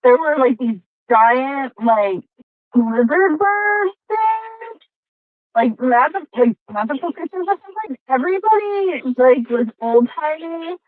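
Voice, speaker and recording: A woman with a fairly high voice chats casually as a player in a tabletop game. Her audio is thin and phone-like, with very little room sound around it. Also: lively intonation, measured pace, dry recording. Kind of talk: casual in-character roleplay chat. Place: inside a car, on a remote game call.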